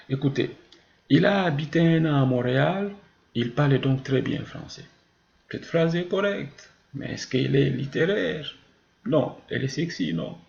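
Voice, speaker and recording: A man's voice: on the high side, gravelly, darkish tone. A man teaching a grammar lesson, explaining didactically with examples and answering his own questions. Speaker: French teacher. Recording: muffled, roomy, echoing sound.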